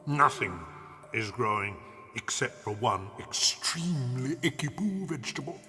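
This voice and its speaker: An actor playing a gentle, childlike old giant, mangling his grammar and savouring invented words in a children's audio drama. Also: mid-range voice, expressive, unhurried, big-room sound. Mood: earnest, animated.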